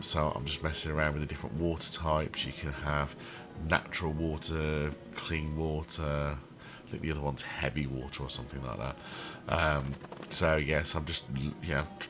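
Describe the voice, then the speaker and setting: A man's voice: very deep voice, steady tone, fairly quick, noisy background. A man with a cold and a throaty voice, rambling with many ums as he comments casually over his game building. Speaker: channel host building a theme park in a game.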